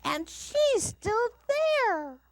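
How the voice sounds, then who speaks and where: A woman's voice: big pitch swings, drawn-out words, no room sound, full character voice. A woman voicing a whiny, protesting little boy bear with a babyish voice in a children's story. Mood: upset, whiny. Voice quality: high babyish voice. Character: whiny young male baby bear, eager to please.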